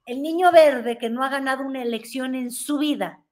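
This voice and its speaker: High-voiced Mexican woman on video call. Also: normal speed, darkish tone. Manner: playful, teasing, gesturing with hands. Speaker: guest commentator. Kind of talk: casual political chat. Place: home room with bookshelves.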